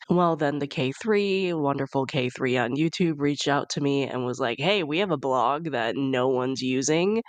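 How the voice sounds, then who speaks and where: A woman's voice: low-pitched, no echo. A young woman hosting a casual solo podcast, chatty and upbeat, sounding congested from a cold. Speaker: podcast host.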